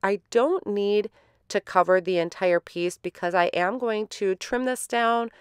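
A woman's voice: medium pitch, clear, resonant tone, no room sound, studio quality. Stuffy-nosed woman explaining a craft. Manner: relaxed, step-by-step explaining with fillers. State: stuffy from a head cold. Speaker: card-making tutorial host. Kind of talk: voice-over craft tutorial.

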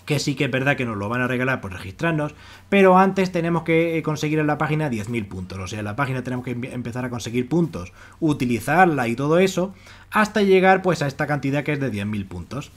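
A man's voice: medium pitch, animated, quick pace. A man with an accent from Spain giving a casual, wordy screen-share walkthrough as an online presenter, often repeating himself to explain. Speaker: YouTube presenter of money-making websites. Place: voice-over on a screen recording.

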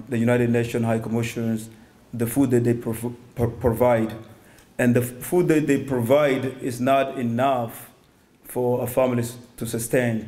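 A man's voice: medium pitch, mellow. A young man from Somalia giving a personal testimony as a guest speaker at a stand mic indoors, hesitant, with many ums and restarts. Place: synagogue hall, indoors.

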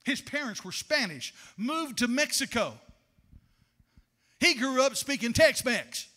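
An older man preaches from the pulpit, his voice very high and piercingly bright. He speaks fervently into a handheld microphone in a church sanctuary, warmly calling his listeners "honey" and building to shouted, rhythmic declarations. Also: expressive, measured pace. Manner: addresses congregation as honey. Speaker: pastor. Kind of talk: sermon.

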